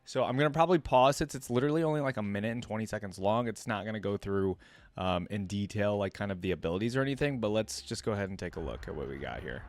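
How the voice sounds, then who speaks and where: A man's voice: lowish voice, expressive, quick pace. A man hosting a reaction video in casual chat, informal and thinking aloud as he goes, often repeating himself.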